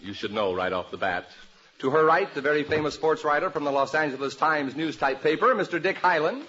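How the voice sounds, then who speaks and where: A man's voice: on the high side, animated, fairly quick. A man hosting a mid-century radio panel show with jovial, florid, playful showmanship. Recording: muffled, very noisy, loud crowd behind.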